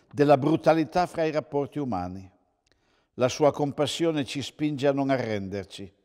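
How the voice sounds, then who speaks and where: A man, a pastor reading a sermon in a measured, solemn manner. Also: medium pitch, darkish tone. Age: 60s. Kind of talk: sermon read from notes. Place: indoors, plain room with reverb, seated. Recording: reverberant room.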